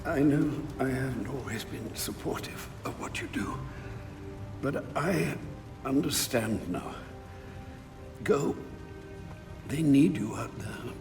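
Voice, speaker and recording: Slow-speaking elderly butler. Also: male voice, mid-range voice, creaky, music in the background. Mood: weak, tender. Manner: formal, measured counsel. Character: loyal, wise, elderly male butler, tender but firm. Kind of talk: video game cinematic acting.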